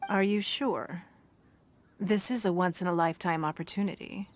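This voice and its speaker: A woman voicing a shrewd, wealthy female city leader who speaks in a measured, authoritative, formal way. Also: on the low side, fairly even intonation, slight background noise, natural voice. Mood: calm. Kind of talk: game cutscene voice acting.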